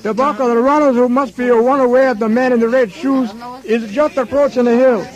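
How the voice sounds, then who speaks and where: An actor playing a chatty, amazed field reporter covering a race in a radio drama. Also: male voice, very high-pitched, steady tone, brisk, highs cut off. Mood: excited.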